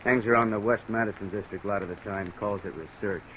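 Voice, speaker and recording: Actor as offhand, cynical police lieutenant. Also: male voice, on the low side, steady tone, fast talker, fairly bright tone, lightly acted. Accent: American. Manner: casual, clipped, cynical. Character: offhand, world-weary male police lieutenant. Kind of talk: 1950s American radio crime drama acting. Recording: noisy old radio transcription.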